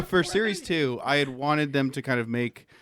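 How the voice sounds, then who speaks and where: A man's voice: mid-range voice, lively intonation, moderate pace, fairly bright tone, no echo, clean studio sound. A man, a game master, explaining things in an informal, loosely rambling way during a casual panel chat. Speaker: game master of a roleplay audio drama.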